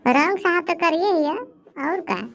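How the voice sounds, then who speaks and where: Cartoon village housewife, Bhojpuri accent, very high voice, doting, melodramatic, lamenting. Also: female voice, fairly bright tone. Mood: earnest, fond. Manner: melodramatic, wailing, drawn-out lamenting. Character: dramatic, doting village housewife grieving her lost buffalo. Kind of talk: comedy cartoon voice acting.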